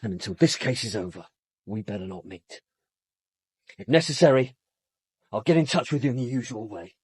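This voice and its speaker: An actor playing a domineering, secretive young British radical in a radio drama, curt, contemptuous and emphatic. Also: male voice, medium pitch, lively intonation, moderate pace, darkish tone, husky, no echo, studio quality. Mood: menacing, defensive.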